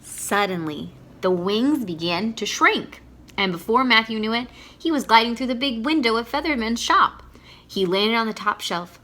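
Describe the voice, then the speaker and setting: A woman reading a picture book aloud to young children in an animated, teacherly way. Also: a little high, moderate pace. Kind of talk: expressive children's read-aloud.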